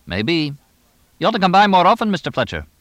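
English horse trainer played by a male narrator: rapid, dry, blunt talker with animated, lifted delivery for an audiobook. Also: sits high, no echo, voice pushed up, exaggerated voice. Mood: drily chatty. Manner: clipped, chatty, deadpan. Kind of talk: audiobook character dialogue.

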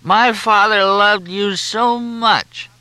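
A pampered newspaper heir, played by a man narrating a novel pleads in a tipsy, self-pitying whine. The pitch lifts high and wavers widely, with words dragged out and a sharp edge. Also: big pitch swings, unhurried, no echo, poor audio. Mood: tearful pleading. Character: whiny, pleading male heir to a newspaper company.

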